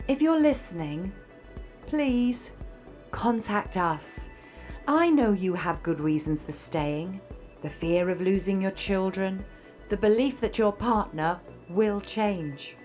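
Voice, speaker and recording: A woman's voice: medium pitch, slow pace, a little mellow, soft background music, lightly acted. A woman acting an earnest, impassioned abuse support worker in a radio drama, speaking with pleading emphasis.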